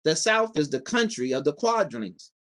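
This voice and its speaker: A man's voice: on the high side, sing-song, moderate pace, a bit bright. Man reading as an earnest little Munchkin man. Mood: solemn. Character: small storybook Munchkin man, solemnly informative.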